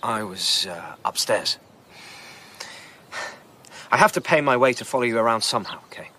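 An actor playing a sly, flippant gigolo in a radio drama, with a sardonic manner. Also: male voice, medium pitch, lively intonation, normal speed, sharp tone. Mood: defensive. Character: sly, flippant male charmer, a kept gigolo.

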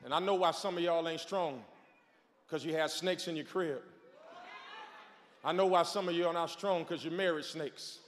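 A man in his forties, a pastor, preaching a sermon on a large church stage with a handheld microphone, shouting and calling on the crowd to respond. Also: fairly high pitch, normal speed, long pauses, a little hiss or hum. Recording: long hall reverb.

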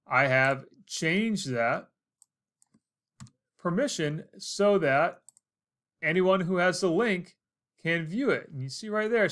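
A man's voice: a little high, a bit bright. An American man in his forties narrating a casual instructional screencast, explaining things step by step. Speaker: tutorial host.

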